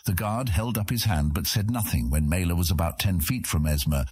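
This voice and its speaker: A man's voice: low voice, brisk, darkish tone, slightly rough. A man reading scripted fantasy fiction as an audiobook narrator, telling the story in the third person.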